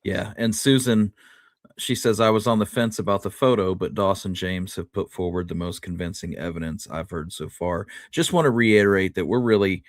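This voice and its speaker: A man's voice: low-pitched, normal speed, no room sound, studio quality. A man co-hosting a casual history discussion, talking conversationally to his partner and viewers. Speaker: podcast co-host.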